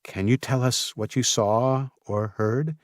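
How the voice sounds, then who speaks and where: A man voicing a worried mother in an audiobook, gentle but firm and questioning. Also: steady tone, slow pace, dry recording, studio quality, natural voice. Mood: gentle, anxious. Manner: gentle, questioning, pitched up when upset.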